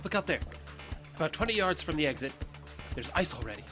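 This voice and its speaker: A man's voice: fairly high pitch, big pitch swings, fast, music in the background. A man playing a stubborn, evasive wounded fugitive in an audio drama, speaking in a defensive way. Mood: insistent.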